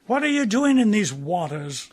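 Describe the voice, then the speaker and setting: Man playing a husky, high-voiced stern officer. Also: big pitch swings, moderate pace, a little mellow, exaggerated voice. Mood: stern, commanding. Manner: clipped, commanding, formal questioning. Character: stern, pompous German naval officer conducting an interrogation. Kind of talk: radio play acting.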